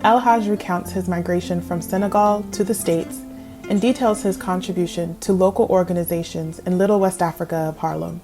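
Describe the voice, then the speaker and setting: Woman reading the show intro, guitar playing clearly behind her. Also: lowish voice, steady tone, brisk. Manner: reads a scripted welcome. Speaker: podcast host. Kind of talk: scripted intro. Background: guitar music.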